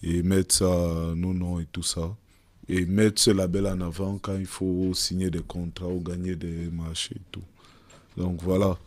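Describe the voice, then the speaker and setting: A young man from Cameroon, a musician guest, chatting casually in an interview with a deep voice and a reflective manner. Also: level tone, normal speed. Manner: switches between French and English, reflective.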